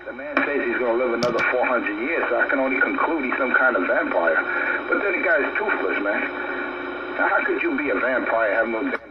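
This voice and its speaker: A man's voice: mid-range voice, animated, fairly quick. A man bantering argumentatively over a radio transmission, taunting and throwing out repeated rhetorical questions. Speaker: radio operator chatting on an open channel. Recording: dull two-way radio with heavy noise.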